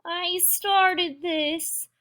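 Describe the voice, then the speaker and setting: A woman's voice: very high voice, animated, slow pace, sharp tone, voice pushed up, exaggerated voice. A woman voicing an earnest, worried little prairie dog in a children's storybook, urgent and pleading. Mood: distressed. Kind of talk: children's storybook read-aloud.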